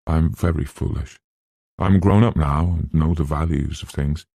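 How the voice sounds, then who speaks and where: A man's voice: extremely low pitch, moderate pace, vocal fry, dark tone, dry recording, studio quality, full character voice. A man voicing a timid, dreamy woman who talks earnestly to herself in an audiobook novel. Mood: wistful. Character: timid, dreamy woman, secretly elated at escaping.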